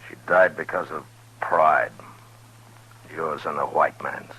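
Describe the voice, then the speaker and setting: A man's voice: a bass voice, steady tone, takes it slowly, bright, forceful tone, husky, exaggerated voice, low-quality recording. An actor playing a stern, weary, compassionate frontier lawman in a terse, grave 1950s radio western style. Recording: 1950s radio drama with music.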